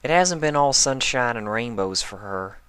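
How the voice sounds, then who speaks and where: Guy doing a kindly country pony gal, earnest, pitched up, bright edge. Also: lively intonation, moderate pace, clear, resonant tone, dry recording, natural voice. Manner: folksy, earnest. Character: folksy, kindly female farm pony.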